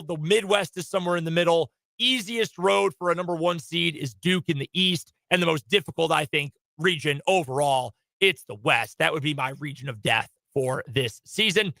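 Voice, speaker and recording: A man hosting sports talk radio, giving opinionated, conversational commentary in a hoarse voice from a studio booth. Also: sits high, sharp tone, no echo. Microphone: close studio microphone.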